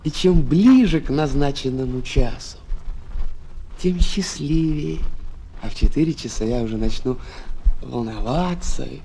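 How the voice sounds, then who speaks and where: A man playing a shy, gentle fox who longs for friendship, hesitant and tender, in a children's audio drama. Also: mid-range voice, unhurried, vocal fry, slight background noise. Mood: gentle, explaining. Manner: hesitant, tender, expressive explaining. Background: music.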